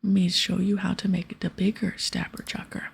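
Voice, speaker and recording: A woman voicing a blunt, dim ogre from a fantasy game who speaks broken English, in a hushed, breathy ASMR delivery. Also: mid-range voice, flat delivery, moderate pace, heavily acted. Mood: blunt, impatient. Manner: blunt, simple-minded. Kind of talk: game dialogue read aloud in ASMR.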